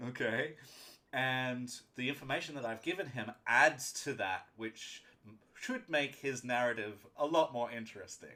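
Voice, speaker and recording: A man's voice: mid-range voice, a little slow, sharp tone. A man narrating a live tabletop roleplaying game as game master, speaking emphatically and often checking in with his players.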